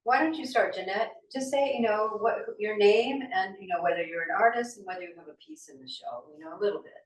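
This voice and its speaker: A woman's voice: medium pitch, fast talker. A woman juror leading a small group at a gallery, talking chattily with many fillers and encouraging people. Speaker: art show juror. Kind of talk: casual talk to a small group. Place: gallery room. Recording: distant, echoey room with faint noise.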